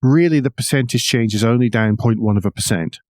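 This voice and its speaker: A man hosting a podcast, explaining the news in a measured way with wry asides. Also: medium pitch, darkish tone, dry recording, clean studio sound. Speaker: podcast host. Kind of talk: news discussion with commentary.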